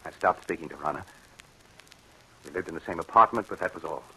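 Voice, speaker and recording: Haunted, bitter husband, low and tense. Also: male voice, fast talker, bright, forceful tone, husky, heavily acted. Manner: grave, dramatic. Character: haunted, bitter husband recounting his troubled marriage. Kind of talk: old-time radio drama acting, dramatic first-person narration. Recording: noisy old radio drama broadcast.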